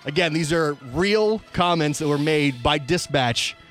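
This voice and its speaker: A man's voice: fairly high pitch, measured pace, a bit bright. A man hosting a talk show, reading out quoted reports aloud in an emphatic, announcer-like way. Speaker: radio show host. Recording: music bed behind the voice. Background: music.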